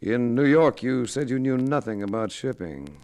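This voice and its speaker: A man's voice: mid-range voice, lively intonation, moderate pace, darkish tone, lightly acted. An American man acting a tough, terse, cool-headed private investigator in a 1950s radio detective drama. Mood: accusing. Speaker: lead actor and narrator. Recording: vintage 1950s radio recording.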